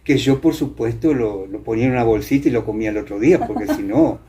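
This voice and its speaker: Older Argentine man, mellow and halting. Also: medium pitch. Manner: halting, with repeated words and emphatic repetition. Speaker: interview guest, theater and singing teacher. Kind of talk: reminiscing in an informal interview. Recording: clear background noise, ambient room sound.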